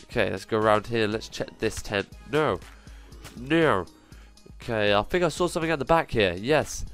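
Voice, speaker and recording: A man with a cold, sounding nasal, giving excitable commentary as he plays through a survival game, full of quick exclamations. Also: on the low side, soft background music. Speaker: gaming channel host. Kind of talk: gameplay commentary.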